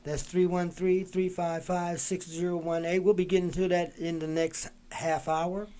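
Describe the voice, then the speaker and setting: Guy hosting a live show, kinda high voice, lots of uhs and restarts. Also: little pitch movement, mellow, clear, resonant tone. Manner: halting, with many fillers and restarts. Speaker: talk show host. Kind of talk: casual live-stream interview.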